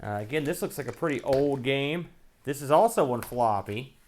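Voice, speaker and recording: A man's voice: medium pitch, expressive, moderate pace. Wry man hosting a casual unboxing. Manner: wry, joking, folksy slang; thinks aloud while handling items. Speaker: host of a retro gaming show.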